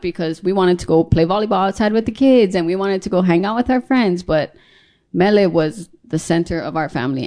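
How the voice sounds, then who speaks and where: A young woman from Hawaii whose first language is Hawaiian, with a slightly low voice. She talks as an interview guest, reflective and informal, sharing personal stories in a relaxed conversational way. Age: young adult. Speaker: singer-songwriter, interview guest. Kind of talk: casual personal storytelling in an interview.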